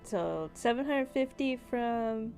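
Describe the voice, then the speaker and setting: A woman's voice: fairly high pitch, lively intonation, takes it slowly, darkish tone. A woman talking casually as game master in a tabletop roleplaying session, with music behind her.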